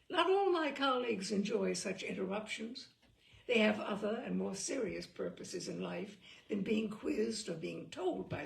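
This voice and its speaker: A woman host reading a humorous literary essay aloud with theatrical, deliberate, carefully phrased delivery. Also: medium pitch, creaky. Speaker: host and reader. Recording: roomy echo, distant microphone.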